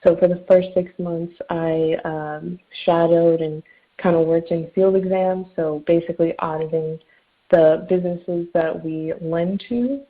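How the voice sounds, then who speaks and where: A woman's voice: on the low side, steady tone, unhurried. A young woman in her twenties introducing herself on a webinar panel, chatty with lots of ums. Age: 20s. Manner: chatty with many fillers. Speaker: webinar panelist, underwriting analyst. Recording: tinny phone-like line.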